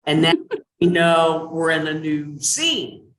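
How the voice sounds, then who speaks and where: A man's voice: fairly high pitch, sing-song, slow, a bit bright, echoey room, slight background noise, low-quality recording. A man taking part in a writing workshop on a video call, asking questions in a self-deprecating way. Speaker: workshop participant. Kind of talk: casual discussion on a video call.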